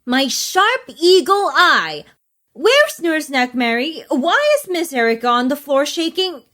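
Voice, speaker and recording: Officious comic woman, female actor: higher register, emphatic, self-important, audio drama style. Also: big pitch swings, measured pace, pushed and bright, exaggerated voice. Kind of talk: comedic audio drama acting.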